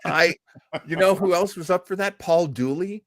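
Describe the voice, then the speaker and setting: A man's voice: high-pitched, moderate pace, fairly bright tone, no room sound, clean studio sound. A man hosting a casual talk show discussion, emphatic and given to repeating phrases to make his point. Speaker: podcast host.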